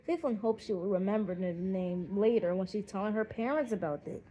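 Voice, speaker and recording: A woman reading a children's audiobook in an expressive, animated storytelling manner. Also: medium pitch, a little fast, muffled recording, soft background music. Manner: animated storytelling with acted character voices. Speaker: audiobook narrator.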